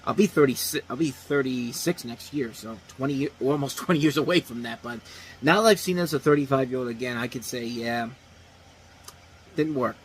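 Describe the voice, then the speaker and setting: A man's voice: medium pitch, a little fast, a little hiss or hum. A man in his thirties casually reviewing movies as a host, talking off the cuff with frequent restarts and repeated phrases. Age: 30s. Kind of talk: casual unscripted review talk.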